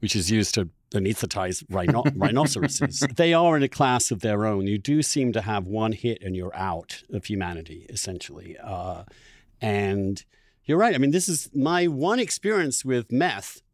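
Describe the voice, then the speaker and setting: A man's voice: fairly low pitch, normal speed, dry recording, clean studio sound. A man hosting a podcast, talking candidly and emphatically in a loose conversational way. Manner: animated, emphatic, confessional. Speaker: podcast host. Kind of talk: candid, conversational interview talk.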